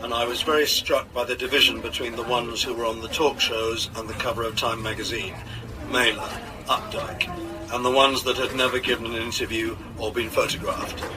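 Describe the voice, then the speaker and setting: A man playing a guarded, reflective novelist's stand-in, reading interview answers in a measured, thoughtful way on a radio programme. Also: mid-range voice, level tone, natural voice. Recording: distant, noisy radio playback.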